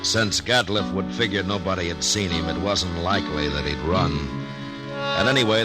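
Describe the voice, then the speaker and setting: An actor playing a laconic, weary American frontier marshal, acting and narrating in an understated, terse way in a 1950s radio western. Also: male voice, sits low, natural voice. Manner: understated, hesitant pauses, terse. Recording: vintage radio recording, loud music behind.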